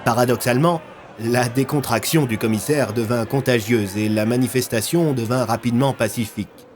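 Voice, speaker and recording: A man's voice: mid-range voice, normal speed, a little mellow, soft background music. A man narrating a scripted audio fiction as a storyteller.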